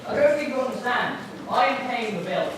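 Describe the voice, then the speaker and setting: Performer acting a pushy comic stage villain, animated pitch, theatrical delivery, heavy background noise, distant echoey school hall recording. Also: brisk, lightly acted. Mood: insistent. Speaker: amateur pantomime performer. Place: school stage, filmed before an audience.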